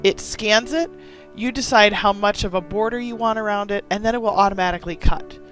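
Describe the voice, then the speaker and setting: A hoarse woman from Wisconsin hosting a casual card-making tutorial, talking with gushing enthusiasm. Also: mid-range voice, brisk, fairly bright tone, faint music behind. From Wisconsin, USA. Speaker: craft channel host.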